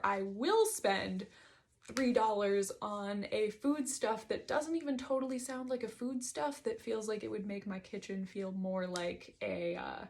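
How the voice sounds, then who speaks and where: A woman's voice: mid-range voice, a little mellow. A woman giving a reflective solo monologue, hesitant and digressive as she thinks aloud about her writing. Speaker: host reading and discussing own writing.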